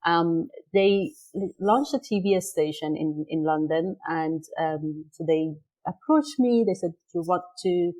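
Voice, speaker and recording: A middle-aged woman from Iran, a native Persian speaker, chats as an interview guest. Her voice sits low and sounds dark and mellow. She talks thoughtfully, with many ums, repeats and restarts. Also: a little slow, low-quality recording. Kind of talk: casual interview conversation.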